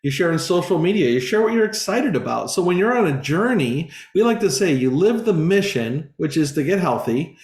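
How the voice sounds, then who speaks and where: A man's voice: fairly high pitch, sing-song, fairly quick, hardly any pauses, a little mellow, echoey room. A man in his forties speaking as a business coach in an earnest, informal sales talk, full of fillers and restarts. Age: 40s. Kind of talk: casual sales presentation.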